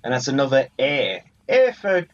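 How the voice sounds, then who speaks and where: A man's voice: mid-range voice, huge pitch swings, moderate pace. Casual man commentating gameplay, slightly muffled. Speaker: let's play host. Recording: muffled sound, game music behind. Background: video game music.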